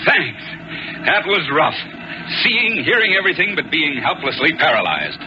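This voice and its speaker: An actor playing an authoritative space colonel, crisp and serious, in a 1950s radio adventure. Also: male voice, fairly high pitch, big pitch swings, moderate pace. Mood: relieved. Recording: noisy, muffled 1950s radio recording.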